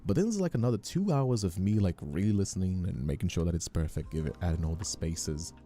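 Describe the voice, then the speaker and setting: Very deep, dark-voiced man chatting casually. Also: animated, a little fast. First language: Spanish. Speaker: voice actor and content creator. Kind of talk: casual chat. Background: music.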